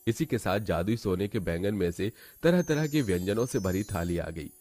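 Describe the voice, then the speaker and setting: A man's voice: lowish voice, expressive, moderate pace, darkish tone, faint music behind. A man narrating a scripted cartoon folk tale as storyteller.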